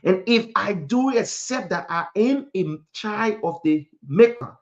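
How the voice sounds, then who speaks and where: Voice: mid-range voice, lively intonation, unhurried, highs cut off. A speaker from Africa preaching a motivational sermon in an emphatic manner, repeating phrases and posing rhetorical questions.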